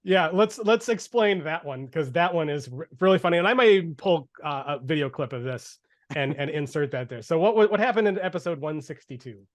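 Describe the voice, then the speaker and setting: A man with a high voice co-hosts a casual podcast, laughing often and restarting his phrases. The sound is dry and close, with no room echo. He talks over a video call. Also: lively intonation, a little fast. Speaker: podcast co-host. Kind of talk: casual chat.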